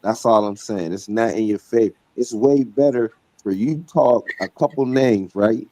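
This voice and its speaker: A man's voice: fairly low pitch, normal speed, mellow, no echo, rough recording. Emphatic man making his point. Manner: emphatic, repeats "right?" and "you know what I'm saying". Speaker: debate panelist. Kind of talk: heated debate talk.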